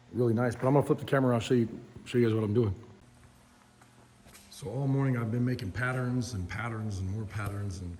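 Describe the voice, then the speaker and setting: A hoarse middle-aged man hosting a casual, rambling walkthrough of his metal fabrication shop, filmed on a handheld phone. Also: medium pitch, mellow. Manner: rambling, filler-heavy, plainspoken. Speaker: host. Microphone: phone camera held in hand. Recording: reverberant shop with faint background noise.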